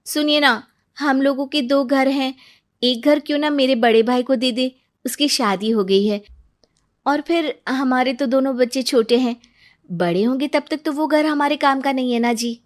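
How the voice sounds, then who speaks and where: Brief: woman voicing a gentle young wife and dutiful daughter, high pitch, earnest cartoon drama. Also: normal speed, lightly acted. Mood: persuading. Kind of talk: cartoon moral story acting.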